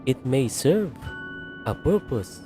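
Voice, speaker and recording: A man playing a solemn, courteous adventurer in a video game, speaking formally and deliberately. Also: mid-range voice, big pitch swings, over music. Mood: grave, measured. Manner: formal, deliberate, archaic politeness.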